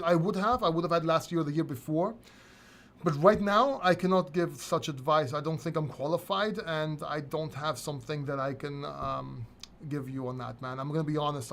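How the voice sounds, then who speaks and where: A man's voice: a little high, steady tone, fairly quick, fairly bright tone. Candid Greek-born man, crypto host. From Greece. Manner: candid, casual, occasionally swears. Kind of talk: live stream Q&A reading chat.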